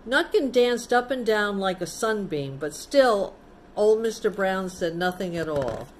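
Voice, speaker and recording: A woman teacher reading a picture book to children, pausing to explain things simply and ask the young listeners questions. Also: mid-range voice, animated, a little hiss or hum, low-quality recording. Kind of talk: storybook read-aloud with chatty asides to children.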